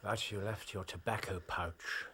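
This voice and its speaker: A man playing a low, raspy, composed investigator. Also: steady tone, sharp tone, heavily acted. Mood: dry, composed. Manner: measured, courteous, dry. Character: composed, probing investigator questioning a seaman. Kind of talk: audio drama dialogue.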